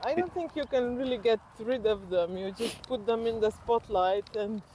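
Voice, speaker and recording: A woman's voice: fairly high pitch, moderate pace, mellow. Woman, noisy outdoor recording. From Slovenia. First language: Slovenian. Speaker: interview guest. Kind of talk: casual reflective chat while walking. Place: outdoors on a walking trail. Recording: outdoor walk with clear background noise.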